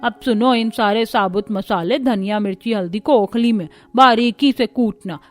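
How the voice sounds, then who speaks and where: Cartoon grandmother-in-law, woman performer: elderly, bossy, greedy, high-pitched scolder, music underneath. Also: moderate pace, lightly acted. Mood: bossy, approving. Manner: commanding, exclamatory rustic scolding.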